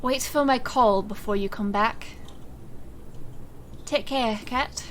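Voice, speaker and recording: A woman playing a calm, secretive, dryly protective woman in charge in an audio drama. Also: a little high, slight background noise. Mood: calm, reassuring. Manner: measured, composed.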